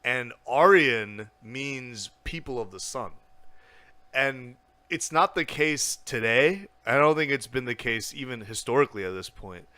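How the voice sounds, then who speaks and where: A young man talking as a podcast guest, animated and blunt, with lots of restarts and casual swearing. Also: mid-range voice, unhurried, no echo.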